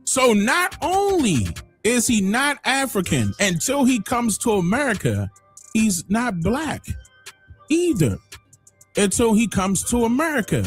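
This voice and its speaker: A man hosting a livestream, giving emphatic opinionated commentary that swings from loud exclamations to low muttering. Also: very animated, measured pace, faint music behind. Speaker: livestream host.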